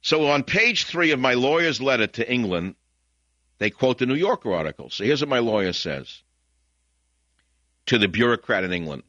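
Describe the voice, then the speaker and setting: An older man with a Bronx New York accent hosting a talk radio show, ranting combatively and swinging between muttering and shouting into a studio mic. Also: medium pitch, big pitch swings, moderate pace, long pauses, no echo. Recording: dull, lo-fi, dry broadcast audio.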